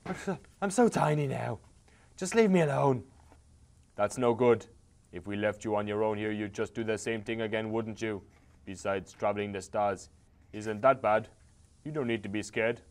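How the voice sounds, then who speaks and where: A man's voice: on the low side, moderate pace. An Irish man reading a game's dialogue aloud, with sarcastic, swearing asides between the lines. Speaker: host playing a game.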